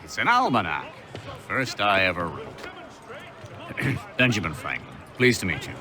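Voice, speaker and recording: An elderly gentleman scholar for a video game cutscene. The voice is low and swings very widely in pitch. He is wordy, theatrical and genially self-deprecating, with old-fashioned phrasing. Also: huge pitch swings, unhurried, a crowd in the background, full character voice. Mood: genial, wry. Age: older.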